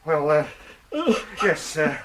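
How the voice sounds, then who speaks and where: An actor in an audio drama playing a stiff, well-meaning colonial husband who stammers and gets flustered. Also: male voice, on the high side, flat delivery, slow, a bit bright, a little hiss or hum. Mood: flustered, awkward. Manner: stammering, blustering.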